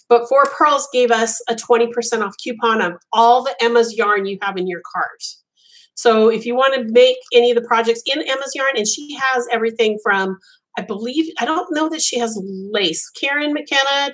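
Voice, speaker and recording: A woman's voice: on the high side, normal speed, fairly bright tone, low-quality recording. A woman whose first language was Italian, hosting a casual live chat as a crochet designer, rambling with frequent ums and restarts.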